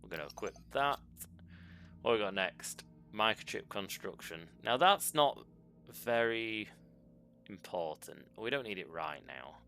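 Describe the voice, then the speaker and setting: A man's voice: lowish voice, lively intonation, unhurried, gravelly, bright, forceful tone. A man casually talking through a video game as a channel host, thinking aloud with ums and self-corrections into a desk mic. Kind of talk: casual gameplay commentary. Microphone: desk microphone.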